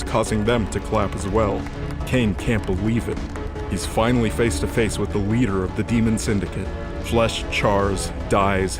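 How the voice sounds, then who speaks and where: A man with a low voice narrates a scripted, dramatic story as an audio drama. Music plays prominently underneath him.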